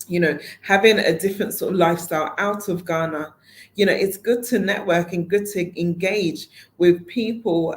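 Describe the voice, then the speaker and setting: A woman with a slightly low voice addresses her audience as the organizer of a network event. Her delivery is informal and conversational, full of fillers and self-corrections. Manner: conversational, many fillers and restarts. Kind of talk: casual online talk to an audience.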